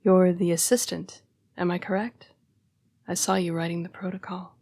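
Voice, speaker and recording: Woman playing a polite vampire girl in an audio roleplay, low breathy voice, hushed n formal, protective and sorry. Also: flat delivery, no room sound. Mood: apologetic, formal. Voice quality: breathy, hushed, often dropping to a whisper. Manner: formal, polite, measured phrasing. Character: young-seeming female vampire, formal, apologetic, protective, urgent. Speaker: vampire council's correspondence assistant. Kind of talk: intimate audio roleplay monologue.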